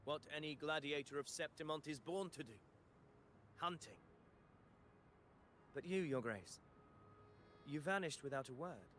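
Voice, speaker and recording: A man's voice: on the high side, unhurried, pauses often, fairly bright tone, a little hiss or hum. An actor playing a valiant gladiator spearman in a video game, speaking in a formal, declamatory way. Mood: proud, questioning. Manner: declamatory, formal, vow-making. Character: proud, valiant male gladiator spearman, grandly heroic.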